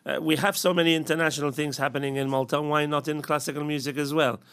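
A man's voice: on the high side, little pitch movement, quick pace, a bit bright, clear, resonant tone, no room sound. A man hosting an interview, asking curious questions and adding many short affirmations. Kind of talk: radio interview.

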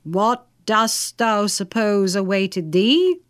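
Woman as noble knight, solemn, measured, magnanimous, archaic storybook lines. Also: mid-range voice, expressive, dry recording, studio quality. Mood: solemn mercy.

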